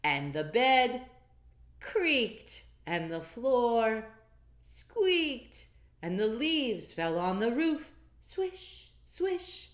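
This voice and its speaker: A woman's voice: fairly high pitch, big pitch swings, reverberant. A woman reading a picture book aloud to children in a sing-song, encouraging way, with asides to her young listeners. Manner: encouraging, interactive, drawn-out sing-song phrasing.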